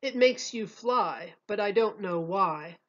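A woman's voice: mid-range voice, lively intonation, takes it slowly, dull audio. A woman voicing a contrary know-it-all male waiter in a storybook, declaring his rhymes emphatically. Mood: confidently contrary. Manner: emphatic, declaring, sing-song rhyming.

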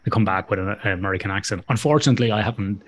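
A middle-aged man with a slightly low voice hosts a podcast from his home office. He chats and jokes casually, often restarting his sentences, heard through a headset mic on a video call. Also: rapid-fire. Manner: chatty, joking, restarts often. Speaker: podcast host. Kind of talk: casual interview chat.

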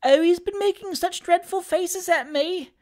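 A terrified little boy from a storybook, as acted out by a narrator, sobbing and wailing. The voice is very high and swoops with fright. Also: sing-song, slow pace, dry recording, clean studio sound, full character voice. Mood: frightened wailing.

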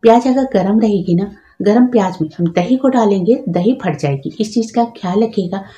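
A woman's voice: mid-range voice, a little fast, dark tone, reverberant, muffled recording. A woman host giving patient, step-by-step instructions in a cooking tutorial voice-over, adding practical tips as she goes.

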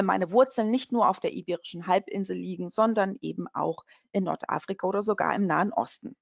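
A woman's voice: mid-range voice, vocal fry, no room sound, lo-fi sound. A woman from Portugal hosting an online webinar, chatting friendly over slides with many fillers and restarts. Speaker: webinar host, company country manager.

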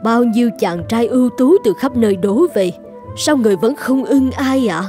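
Woman as a strict, high-voiced cartoon queen. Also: moderate pace, mellow, soft background music, lightly acted. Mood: questioning. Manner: formal. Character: strict but deferential royal mother, a queen. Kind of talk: dubbed children's cartoon acting.

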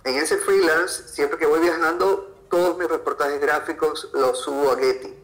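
A man's voice: a little high, fairly even intonation, normal speed, rough recording. A man with an Ecuadorian accent, answering conversationally as an interview guest. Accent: Ecuadorian Spanish. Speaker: podcast guest, freelance photographer.

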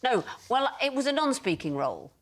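A woman's voice: high voice, big pitch swings, a little fast. A middle-aged woman telling comic stories as a panel show guest in a television studio. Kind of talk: comic storytelling.

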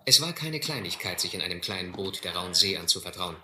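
An actor playing an earnest, educated man in a sea adventure radio play, with a measured, literary delivery. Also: sits low, expressive, pushed and bright, full character voice. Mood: grave, reflective. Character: earnest, educated castaway man narrating his sea ordeal. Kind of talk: German radio play acting and narration. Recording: roomy, faintly noisy old radio play.

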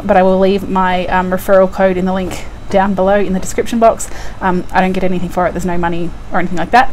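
A young woman with a hoarse, croaky voice from a cold, chatting casually to the camera at home with lots of ums. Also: mid-range voice, darkish tone, a little hiss or hum, rough recording. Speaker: couponing vlogger. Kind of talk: casual unboxing vlog. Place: indoors at home by a window. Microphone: lapel mic.

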